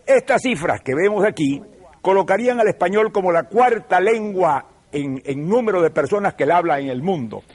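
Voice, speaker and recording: An older man with a Venezuelan accent, hosting a TV program and lecturing in a measured, professorial, emphatic way. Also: high-pitched, expressive. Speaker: TV show host. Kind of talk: televised lecture.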